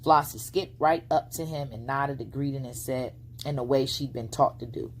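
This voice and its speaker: A woman's voice: extremely low pitch, steady tone, normal speed, a little hiss or hum. A woman reading a bedtime storybook aloud as its narrator. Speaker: storybook reader.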